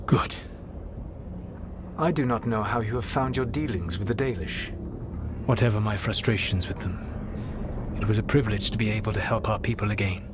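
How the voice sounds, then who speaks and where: Game role: ancient elven god, played by a man, grave and proud, lowish pitch, measured delivery over noticeable background ambience. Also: background noise, natural voice. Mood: grave, formal. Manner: formal, measured, deliberate. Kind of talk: dramatic video game dialogue.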